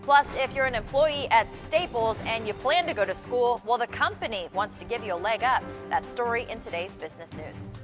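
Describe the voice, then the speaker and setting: A woman's voice: fairly high pitch, long reverb tail. An American woman working as a TV morning news anchor, reading scripted stories in a broadcast delivery.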